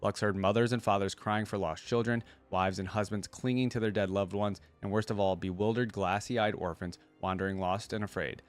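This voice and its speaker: Low-voiced man reading and reacting. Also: steady tone, fairly quick, hardly any pauses. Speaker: reaction video host. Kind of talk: reading lore aloud with casual commentary.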